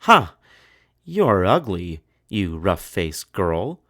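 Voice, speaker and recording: A man's voice: low voice, very animated, drawn-out words, sharp tone, full character voice. A man voicing two vain, cruel, haughty young sisters in a children's storybook. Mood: mocking. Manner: haughty, demanding, stammering when cornered.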